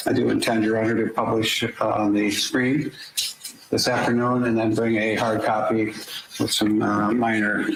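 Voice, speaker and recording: A man's voice: fairly low pitch, flat delivery, normal speed, vocal fry. A man speaking as a defense attorney in court, polite and formal toward the judge. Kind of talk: courtroom address. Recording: echoey courtroom audio with noise.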